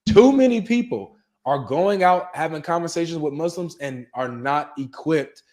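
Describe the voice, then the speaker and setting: A man's voice: a little high, expressive, moderate pace, a little mellow. A man co-hosting a casual religious debate chat, emphatic and urging viewers on, often ending phrases with "man". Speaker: co-host.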